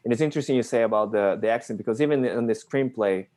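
A man's voice: mid-range voice, fast, a little mellow. A man from Brazil, a film writer-director answering interview questions in a hesitant way, with many restarts and small laughs.

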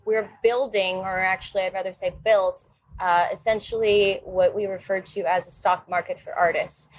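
A woman, a company founder, giving measured explanatory interview answers. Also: mid-range voice, fairly even intonation, slight background noise, poor audio. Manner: measured, explanatory, some fillers. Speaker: founder and CEO, interview guest.

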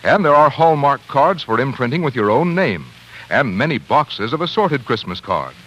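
A man's voice: mid-range voice, very animated, normal speed, fairly bright tone, highs cut off. An American man working as a radio announcer, polished and salesmanlike in a 1940s advertising style. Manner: polished, salesmanlike, clearly phrased. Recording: 1940s radio broadcast recording.

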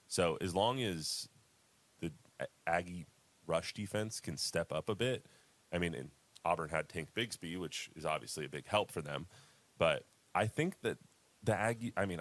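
Deep-voiced young man chatting sports. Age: 20s. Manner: animated, laughs often, rambling restarts. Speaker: podcast co-host. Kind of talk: casual sports chat.

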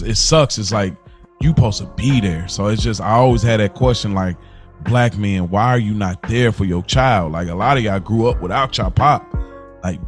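An American man co-hosting a podcast in casual, earnest, slangy talk, repeating phrases for emphasis. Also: lowish voice. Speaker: podcast co-host. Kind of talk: casual chat.

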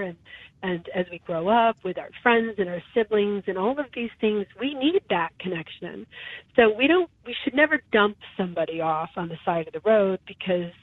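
A woman's voice: mid-range voice, dry recording. Earnest woman on a tinny phone line. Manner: earnest, reflective, with frequent restarts. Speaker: show host. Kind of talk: conversational interview talk. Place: her home.

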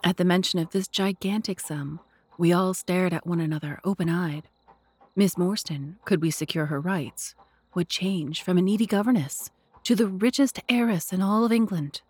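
A woman narrating a classic mystery audio drama in a measured, literary storytelling manner. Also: fairly low pitch, a little mellow, husky.

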